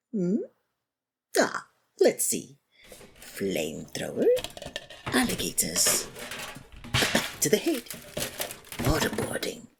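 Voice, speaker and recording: A woman acts out a supervillain in an ASMR audio drama, weary yet theatrical. The voice sits low and husky and moves at an unhurried, slow pace. The delivery is sarcastic and taunting, a grand monologue to a captive rival. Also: exaggerated voice. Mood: gleefully menacing. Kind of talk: scripted ASMR roleplay acting.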